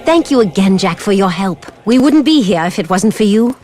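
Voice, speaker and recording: Woman as a husky, spirited young racer. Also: mid-range voice, expressive, moderate pace, a little mellow, soft background music, close to an everyday voice. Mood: grateful. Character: proud, earnest young woman racing teammate.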